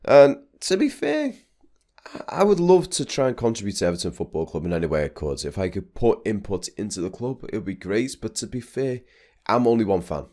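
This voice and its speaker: A man's voice: on the low side, sing-song, brisk, mellow. A young man, talking casually and rambling a bit as he vlogs over drone footage. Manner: chatty, rambling with restarts. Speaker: vlogger.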